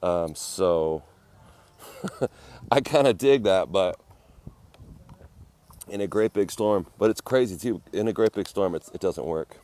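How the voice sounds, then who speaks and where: A man's voice: low voice, slow pace, pauses often, mellow. A man livestreaming outdoors from a phone with an ear-worn mic, chatting casually, rambling, and greeting viewers by name. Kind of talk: casual live-stream chat. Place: outdoors at a garden cafe.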